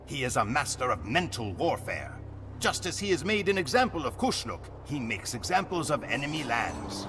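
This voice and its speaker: A proud steppe warrior telling his Khan's story for a strategy game cutscene. His somewhat high male voice rises and falls dramatically, savouring every grim phrase with solemn theatricality. Music plays underneath. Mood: menacing. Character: proud, grim Mongol horse warrior chronicling his Khan. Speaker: campaign narrator.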